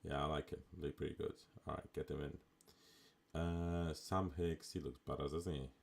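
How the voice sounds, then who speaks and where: A man with an extremely low, raspy voice talks through a game on a live stream. He keeps it relaxed and chatty, thinking aloud with plenty of casual asides into a desktop mic. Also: measured pace. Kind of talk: casual live gameplay commentary.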